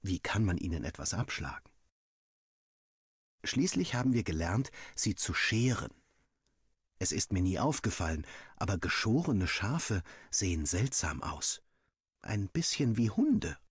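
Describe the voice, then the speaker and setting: A man's voice: on the low side, husky, no echo, clean studio sound, exaggerated voice. A man playing a young student villager who narrates his diary in a wry, dramatic, self-mocking way, as a scripted game story.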